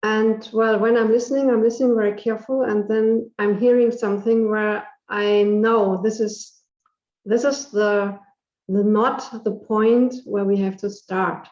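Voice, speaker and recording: A woman's voice: medium pitch, steady tone, a little slow, darkish tone. Coach woman in an echoey, dull room. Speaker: coach and host. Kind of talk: interviewing a client.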